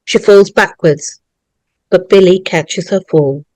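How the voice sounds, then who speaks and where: Female narrator for screenplay directions; medium pitch, unhurried pace, dry close sound. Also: darkish tone, no echo, low-quality recording.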